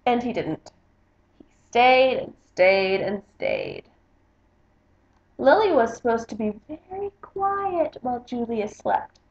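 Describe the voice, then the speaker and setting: A woman's voice: on the high side, wildly swooping pitch, takes it slowly, creaky. A woman book vlogger reading a picture book aloud in a casual, chatty style. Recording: slightly dull, roomy home recording.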